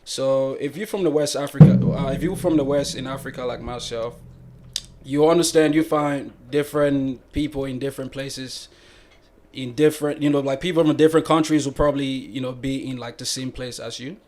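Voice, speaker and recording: A man's voice: mid-range voice, fairly even intonation, fairly quick, a little mellow. A young man from Ghana, a musician and guest on a podcast, talking in a laid-back, easygoing way and laughing often. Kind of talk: casual podcast chat.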